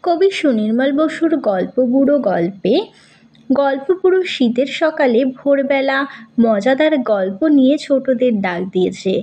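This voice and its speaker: A woman's voice: sits high, a little mellow. A woman teacher giving a school lesson, reading out questions and answers and addressing pupils directly in an instructional way.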